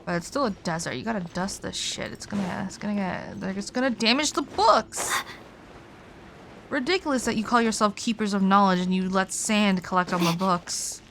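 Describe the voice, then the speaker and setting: A woman's voice: medium pitch, normal speed, vocal fry, a bit bright, a little hiss or hum. A tired woman livestreamer chatting casually while gaming, informal and rambling, often trailing off. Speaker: live streamer. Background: game music.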